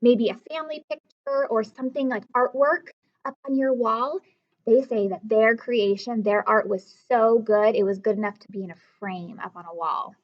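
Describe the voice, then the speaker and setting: A woman teacher reading a story aloud to children, expressively, pausing to instruct and question her young listeners directly. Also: fairly high pitch, highs cut off. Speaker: elementary school teacher.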